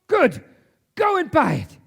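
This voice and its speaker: A man's voice: extremely high pitch, huge pitch swings, slow pace, vocal fry, fairly bright tone, heavily acted. A man on stage playing an elderly reformed miser in a theatrical radio play, exclaiming and repeating himself in fervent bursts. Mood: joyful excitement. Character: elderly reformed miser, frantic, giddy and overjoyed. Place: theatre stage before an audience. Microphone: shared stage microphone. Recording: live stage recording with music behind.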